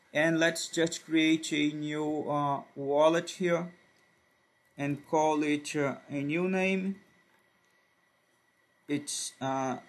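Man, native Portuguese speaker, slightly high voice, slow deliberate tutorial voice-over, stops and restarts often. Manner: slow, halting, with frequent fillers and restarts. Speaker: tutorial presenter. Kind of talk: screen-recorded software tutorial.

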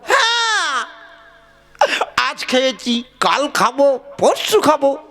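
A woman's voice: sits high, very animated, takes it slowly, exaggerated voice. A woman voicing a simple-minded, boozing female patient in a comic puppet show, wailing and exaggerating. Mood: brazen. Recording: loud music behind the voice.

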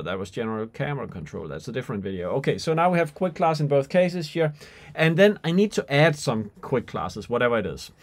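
A middle-aged man presents a hands-on technical tutorial in a medium-pitched voice. He speaks informally and unscripted, thinking aloud with some hesitation as he works through each step. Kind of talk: unscripted software and hardware demonstration. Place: small indoor room with skylight window.

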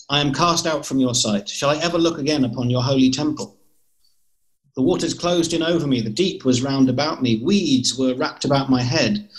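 A man's voice: mid-range voice, lightly acted, low-quality recording. A man in his thirties playing an earnest prophet, in a solemn dramatised scripture reading. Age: 30s. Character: Jonah, earnest distressed prophet in prayer. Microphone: video call.

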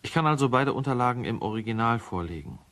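An actor playing a careful lawyer who gives a formal, factual statement in a radio play. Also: male voice, mid-range voice, animated, fast talker, fairly bright tone, close to an everyday voice. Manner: formal, dry, precise.

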